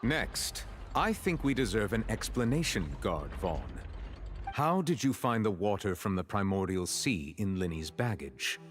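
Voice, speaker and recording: An actor voicing a stern, formal chief justice who lays out a case in a video game. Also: male voice, lowish voice, big pitch swings, faint music behind. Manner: measured, formal, deliberate.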